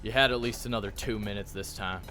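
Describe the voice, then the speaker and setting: An actor playing an earnest tech expert in a crew for a radio drama, casual and frank. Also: male voice, on the low side, fairly even intonation, fast, bright, forceful tone, soft background music. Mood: matter-of-fact.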